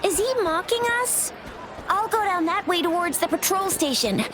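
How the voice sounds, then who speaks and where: A woman playing a spirited, confident young female companion in a video game, brisk and self-assured. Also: very high voice, animated, over music. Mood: indignant, confident.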